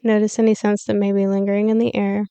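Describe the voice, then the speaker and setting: A woman leading a scripted guided meditation as a yoga nidra teacher, giving calm, soothing, measured instructions with pauses. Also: mid-range voice, smooth, no echo.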